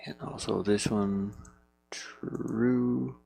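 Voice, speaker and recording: A man's voice: fairly low pitch, steady tone, extremely slow, creaky, mellow, slight background noise, poor audio. A man working as an indie game developer, thinking aloud casually and muttering to himself in short fragments.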